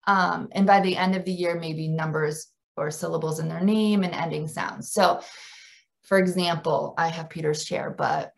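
A woman's voice: lowish voice, steady tone, moderate pace, creaky. A woman presenting an informal training workshop for teachers over a video call.

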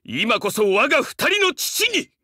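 Fervent, bright-voiced young samurai dog-man. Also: very high-pitched, sing-song, measured pace, slightly rough, no room sound, clean studio sound, exaggerated voice. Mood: fierce, battle fervor. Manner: formal, earnest, archaic retainer's speech, dramatic delivery. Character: fervent young male dog-man samurai warrior, fiercely loyal and earnest. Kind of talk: Japanese game voice acting, archaic samurai speech.

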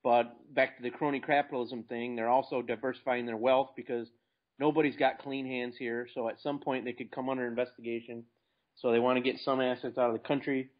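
A man's voice: mid-range voice, little pitch movement, brisk. Man, American accent, informal plain-spoken interview guest, dull lo-fi call audio. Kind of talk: casual interview talk.

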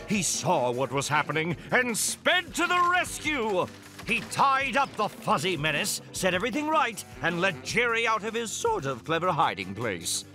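A man playing an excitable, silly storybook narrator for a children's cartoon, with a playful, exaggerated, mock-dramatic delivery. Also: sits high, heavily acted.

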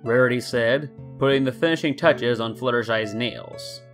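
A man's voice: medium pitch, moderate pace. Man narrating a story over guitar music. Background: soft guitar music.

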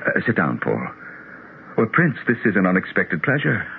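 An actor playing an earnest, thoughtful doctor of psychology in a 1970s radio drama, with a musing, somewhat hesitant manner. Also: male voice, on the low side, wildly swooping pitch, moderate pace, gravelly, full character voice. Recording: tinny, noisy 1970s radio broadcast.

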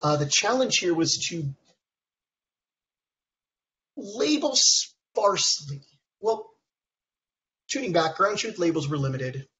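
A man in his thirties, hoarse from a cold, presenting research slides over a video call in a halting way, with many ums and restarts. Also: high-pitched, wildly swooping pitch, bright, forceful tone. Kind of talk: technical conference talk. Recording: dull lo-fi call audio.

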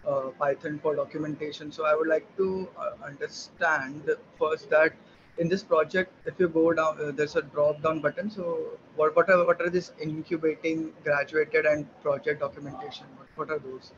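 Guy on a video call, kinda high voice, lots of uh and repeats, noisy background. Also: little pitch movement, moderate pace. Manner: hesitant, many fillers and repeats. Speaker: mentee introducing himself. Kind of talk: casual video call chat. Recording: dull lo-fi call audio with noise.

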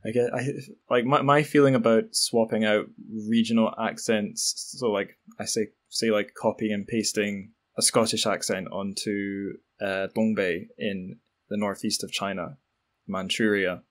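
A man's voice: on the low side, little pitch movement, a little slow. A man hosting a podcast, musing tentatively in casual interview chat. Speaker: podcast host.

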